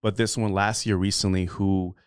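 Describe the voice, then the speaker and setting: A man's voice: sits low, level tone, moderate pace, clear, resonant tone, no echo, studio quality. A middle-aged man speaking as a podcast consultant guest, answering interview questions in an earnest, rambling way with many fillers.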